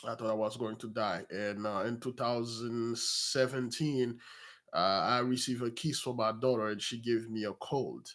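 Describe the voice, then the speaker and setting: A hoarse man congested with a cold, speaking formally as a religious teacher addressing his followers on a livestream. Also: medium pitch, steady tone, normal speed, fairly bright tone.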